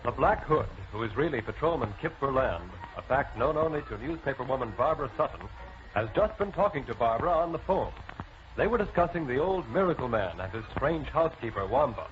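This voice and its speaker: A formal, dramatic announcer, played by a man narrating a 1940s crime serial. His middle-pitched voice comes through a muffled, clearly noisy vintage recording. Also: lively intonation, a little fast, natural voice. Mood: urgent storytelling. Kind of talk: 1940s radio scripted narration. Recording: noisy, muffled old-time radio recording.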